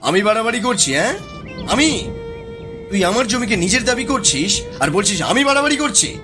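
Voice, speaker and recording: A hot-tempered farmer voiced by a man complains in a high male pitch. He sounds accusing and defiant, with cartoon music behind. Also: very animated, normal speed. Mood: angry defiance.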